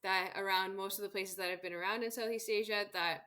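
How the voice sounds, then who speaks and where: Bright-voiced chatty woman. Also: mid-range voice, steady tone, fairly quick. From Canada. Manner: friendly, chatty, laughs often. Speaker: guest, solo travel expert. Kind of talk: casual interview chat.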